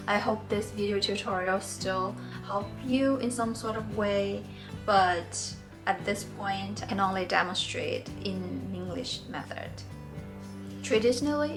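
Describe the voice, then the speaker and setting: A woman in her forties, born in China and a native Mandarin speaker, hosting a knitting tutorial in a gentle, earnest and encouraging way. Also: mid-range voice. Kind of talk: friendly instructional talk.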